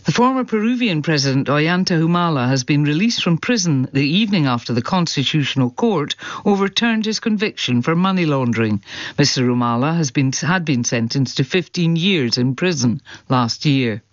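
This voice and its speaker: A man's voice: a little high, expressive, moderate pace, no echo. A man reading a scripted news bulletin in a radio studio. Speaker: newsreader. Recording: slightly dull, band-limited broadcast audio.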